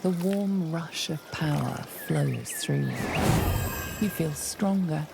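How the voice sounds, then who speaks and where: An actress playing a grave, measured storyteller, narrating a fantasy video game in the second person. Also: female voice, deep voice, gravelly, loud music behind the voice, heavily acted.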